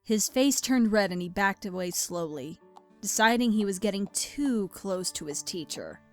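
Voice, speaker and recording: A woman's voice: medium pitch, lively intonation, moderate pace, soft background music. A woman reading scripted story narration as the narrator of an audio drama.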